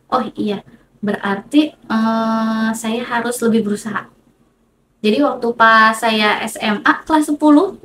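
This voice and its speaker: A woman's voice: fairly high pitch, a bit bright. Indonesian woman reflecting, noisy recording. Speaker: mathematics teacher, podcast guest. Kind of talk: casual interview, personal storytelling. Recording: clear background noise, room ambience.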